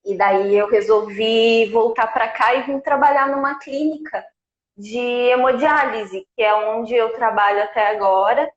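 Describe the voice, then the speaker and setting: A woman's voice: fairly high pitch, fairly even intonation, unhurried. Echoey woman on a video call. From Brazil. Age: 40s. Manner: warm, conversational, storytelling. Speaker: guest nutritionist. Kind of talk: casual live chat. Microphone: remote video call. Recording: echoey, dull remote call audio.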